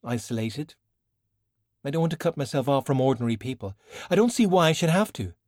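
A man's voice: mid-range voice, lively intonation, fairly quick, a little mellow, no echo, studio quality, voice pushed up, natural voice. A man voicing a stubborn, idealistic young woman sorcerer who protests earnestly in an audiobook.

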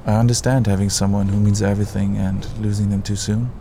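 An actor playing a gentle, reflective middle-aged confidant in a radio drama, measured and consoling. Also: male voice, fairly low pitch, little pitch movement. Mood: gentle probing. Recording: clearly noisy ambient background.

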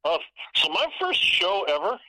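A man in his fifties from California, telling stories from his youth in a relaxed conversation, measured and reflective, often restarting his sentences. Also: sits high, low-quality recording. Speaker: guest. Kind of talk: casual conversation.

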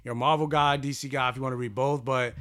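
A man at a mid pitch with a bright, forward tone, co-hosting a casual podcast. He chats in a relaxed, offhand way and teases his co-host now and then. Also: fast, smooth.

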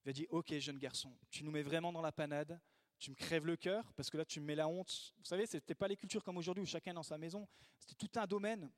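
A man preaching a sermon in a lively way, acting out the voices he quotes. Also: on the high side, fast talker, dry recording, clean studio sound. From Italy. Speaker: pastor.

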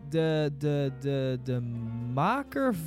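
Male podcast panelist, higher-pitched, hesitant and searching in casual talk, faint music underneath. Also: sing-song, very slow. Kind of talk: casual discussion about games.

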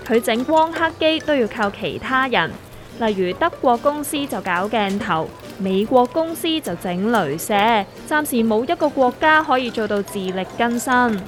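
A sardonic investment guru from a comic radio play, played by a woman, speaking Hong Kong Cantonese in a fairly high voice. Soft music runs underneath. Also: expressive, normal speed, lightly acted. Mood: explaining. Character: sardonic know-it-all investment master. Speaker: voice actor in a radio drama. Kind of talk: scripted comedic dialogue.

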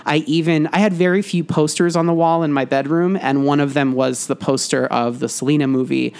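A man's voice: fairly high pitch, fairly even intonation, brisk, creaky, darkish tone, smooth. A man speaking as a podcast guest, telling personal stories in a casual, reflective, explanatory way.

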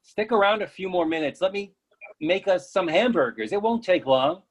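A man's voice: high voice, lively intonation, normal speed. A man acting an eager, oblivious friend with an insistent, earnest manner in a readers theatre comedy. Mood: coaxing. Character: eager, oblivious male friend pushing food, stubbornly cheerful.

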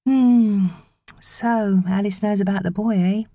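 A woman's voice: medium pitch, fairly even intonation, slow pace, warm tone, no echo, voice pushed up. A woman voicing a chatty, caring teenage girl babysitter who gets a little exasperated, in an audiobook story.

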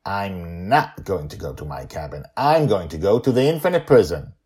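A man's voice: low voice, sing-song, moderate pace, darkish tone. Man voicing a defiant, growly little girl. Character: defiant, angry six-year-old girl.

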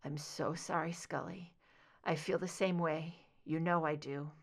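Woman doing a soft-spoken grieving male agent. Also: steady tone, moderate pace. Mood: tender. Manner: soft, low, soothing. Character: gentle anguished male agent guiding hypnosis.

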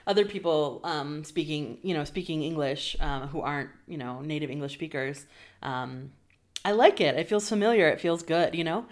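A woman's voice: low voice, moderate pace. A woman hosting a podcast, chatting casually and conversationally with frequent filler phrases. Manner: warm and conversational, frequent "you know". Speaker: podcast host.

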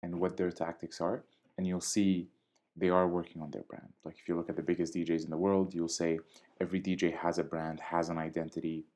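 A young man from Syria, a DJ speaking in a podcast interview with a measured, articulate and diplomatic manner. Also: sits low, flat delivery, darkish tone. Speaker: DJ and guest.

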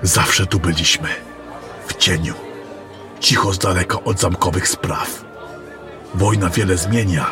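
Man acting a low-voiced, secretive scholar mage. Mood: solemn. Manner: formal, measured, explanatory. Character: secretive scholarly adept of interdimensional magic, measured, courteous. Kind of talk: fantasy audio drama acting. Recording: music and crowd murmur behind.

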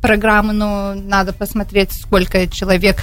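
A woman's voice: mid-range voice, little pitch movement, normal speed, clear, resonant tone. A woman with an American accent, a candidate answering radio interview questions, hesitant and often searching for words. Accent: American English. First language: English. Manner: hesitant, searching for Russian words, many fillers. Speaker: city council candidate. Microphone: studio microphone.

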